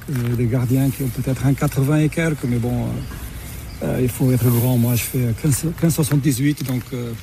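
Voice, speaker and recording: Middle-aged man from Portugal, tennis referee interviewee, halting delivery full of fillers, dark mellow tone, faint background noise. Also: mid-range voice. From Portugal, born in Mozambique, raised in Lisbon. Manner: hesitant, many fillers and restarts. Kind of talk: radio interview, recounting career.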